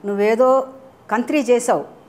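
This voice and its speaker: A woman's voice: fairly high pitch, fairly even intonation, a little slow, darkish tone, natural voice. A woman voicing a suspicious, bossy cat in a children's story, questioning and insistent. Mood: insistent, accusing.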